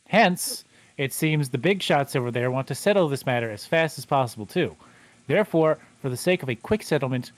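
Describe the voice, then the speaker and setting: Stiff, formal lawyer, read by a man who stumbles over words, lively pitch, dry sound. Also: dry recording, natural voice. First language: not Japanese. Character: formal middle-aged male lawyer. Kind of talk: fan livedub of a visual novel.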